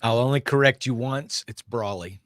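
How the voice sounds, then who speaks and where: A man's voice: mid-range voice, normal speed, fairly bright tone, no echo. A man chatting casually as a guest on a panel show.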